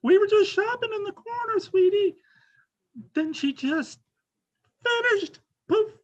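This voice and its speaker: A woman playing a distressed, melodramatic mother in a comic dramatic reading, pleading in a halting way. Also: very high-pitched, expressive, muffled recording, pitched above the performer's own voice.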